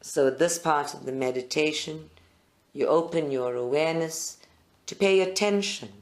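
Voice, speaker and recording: A meditation guide leads a live group session in short, deliberate phrases with pauses between them. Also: medium pitch.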